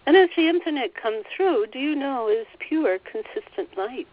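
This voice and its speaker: A woman leading a guided meditation, relaying a guide's words in a gentle, soothing way and often repeating phrases. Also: sits high, animated, normal speed, phone-quality sound. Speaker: spiritual teacher leading a meditation.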